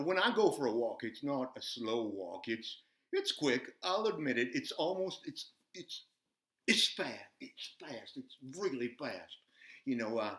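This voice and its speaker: A man voicing an excitable, folksy, bumbling buzzard who hosts a children's show, rambling and repeating himself as he chats. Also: mid-range voice, roomy sound, natural voice. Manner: folksy, repetitive, easily flustered, giggly exclamations. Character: excitable, folksy, bumbling old farm buzzard puppet host.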